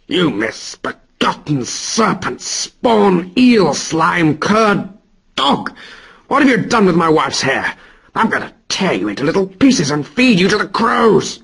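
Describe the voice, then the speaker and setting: A man's voice: high-pitched, sing-song, slow pace, vocal fry, fairly bright tone, slightly rough, pitched above the performer's own voice, exaggerated voice. A man doing a roaring, raging thunder god. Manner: roaring, threatening. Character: raging male thunder god, brutal and loud.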